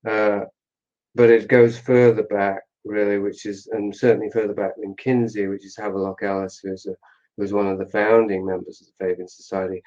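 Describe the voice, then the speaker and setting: A man speaking as an interview guest, analytical and deliberate, often pausing to correct himself, on slightly muffled audio. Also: on the low side, level tone, a little mellow. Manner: measured, deliberate, hesitant, self-correcting. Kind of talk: unscripted analytical discussion.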